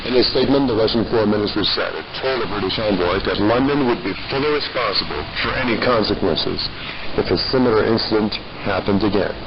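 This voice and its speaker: A man's voice: fairly low pitch, fast, over a noisy crowd. A man presenting scripted world news as a radio host, heard over a shortwave broadcast. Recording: muffled crackly shortwave radio with music.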